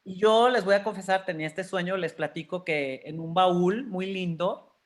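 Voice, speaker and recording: A woman's voice: on the low side, normal speed. A woman in her fifties with a Mexican accent, telling personal stories candidly and expressively as an interview guest. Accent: Mexican Spanish. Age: 50s. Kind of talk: candid personal storytelling in conversation.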